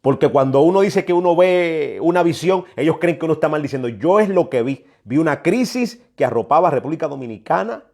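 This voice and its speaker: A man's voice: fairly high pitch, animated, fairly quick, creaky, few pauses, a little mellow. A man preaching a prophetic message, emphatic and admonishing, often repeating his phrases for weight. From New York, United States. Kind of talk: prophetic sermon, exhortation.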